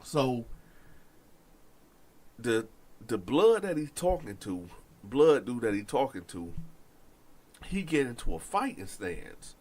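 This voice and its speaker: A man's voice: mid-range voice, slow, pauses often, rough recording. Stuffy-nosed man telling a prison story. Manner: animated, addresses listeners directly, slangy. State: stuffy nose.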